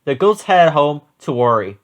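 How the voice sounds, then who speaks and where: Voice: medium pitch, big pitch swings, moderate pace. A narrator reading a children's picture book aloud in a storytelling style. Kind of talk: animated children's read-aloud.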